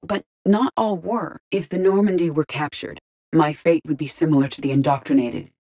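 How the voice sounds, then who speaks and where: A courteous female artificial intelligence from a sci-fi video game. The voice sits low and stays composed. Each phrase is measured and exact, with formal wording and a dry, deadpan delivery. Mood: composed, matter-of-fact. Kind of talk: scripted video game dialogue.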